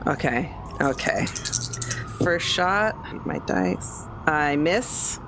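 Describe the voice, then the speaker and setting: A player in a tabletop game talks casually, announcing rolls and reacting to them. The voice is of medium pitch, and there is clear noise in the recording. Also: lively intonation, extremely slow.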